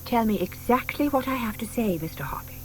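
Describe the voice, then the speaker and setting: A woman's voice: a little high, lively intonation, a little fast, slight background noise, lightly acted, poor audio. An actress playing a genteel, doting older British lady in an audio drama, gushing, fussing and polite. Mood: trusting, hesitant.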